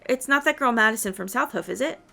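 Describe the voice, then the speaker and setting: A young, adventurous horse-riding heroine from a fantasy game , read aloud by a woman. The voice is on the high side and sounds like dialogue being read out. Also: lively intonation, quick pace, vocal fry, sharp tone, exaggerated voice. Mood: purposeful.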